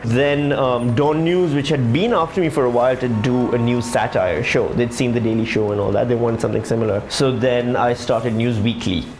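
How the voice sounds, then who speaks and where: A man's voice: medium pitch, fairly even intonation, moderate pace, hardly any pauses, music in the background. A man, a stand-up comedian telling his story in a conversational, wry way with dry punchlines. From Pakistan. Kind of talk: documentary interview and stand-up set.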